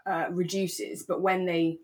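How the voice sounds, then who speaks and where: A woman with a voice a little on the low side. She talks casually and reflectively, like a podcast co-host in an easy discussion with a friend. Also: flat delivery, unhurried, darkish tone. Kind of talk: relaxed casual discussion of a radio drama.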